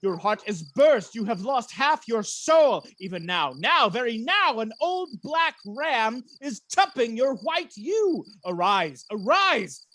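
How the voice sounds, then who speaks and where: A man's voice: very high-pitched, sing-song, takes it slowly, hardly any pauses, fairly bright tone, full character voice. A man playing a bitter, scheming military ensign in a Shakespeare radio play, theatrical and sardonic. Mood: urgent, taunting. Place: recorded at home over a video call.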